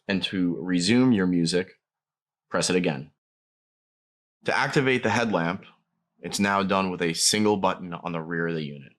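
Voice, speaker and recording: A man's voice: low-pitched, moderate pace, pauses often, a bit bright. A man narrating a scripted product tutorial, explaining each step plainly as a demonstrator.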